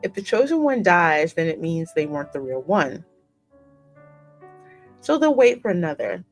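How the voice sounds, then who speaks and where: A woman with a fairly low voice narrates a story game aloud. Her delivery is expressive, like someone acting out a tale for viewers, with music playing behind her. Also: normal speed. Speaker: gaming streamer reading the game heroine's part aloud.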